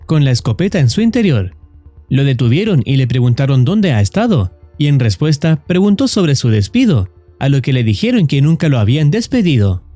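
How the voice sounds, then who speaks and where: Mellow, expressive male narrator over music. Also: on the high side, no echo. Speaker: narrator of horror recap videos. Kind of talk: scripted narration. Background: background music.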